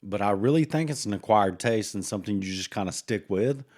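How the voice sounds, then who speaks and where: Low-voiced, rambling man podcast co-host. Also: animated, a little fast, a little mellow. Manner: rambling, hesitant, with many fillers. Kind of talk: casual true-crime podcast chat.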